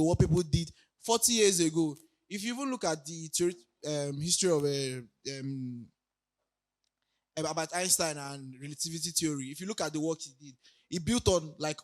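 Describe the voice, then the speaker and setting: A young man speaks at a conference, his voice raspy as he recovers from illness. He sits a little higher than most men and stops often to hesitate or restart a thought. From Nigeria. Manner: hesitant, many ums and restarts, laughs lightly. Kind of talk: casual conference talk. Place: conference hall.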